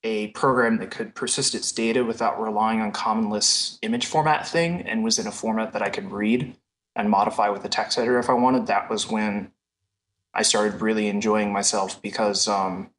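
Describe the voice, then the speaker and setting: A man's voice: fairly low pitch, steady tone, normal speed, a bit bright, rough recording. A man giving casual, explanatory answers as a programmer guest in a technical interview.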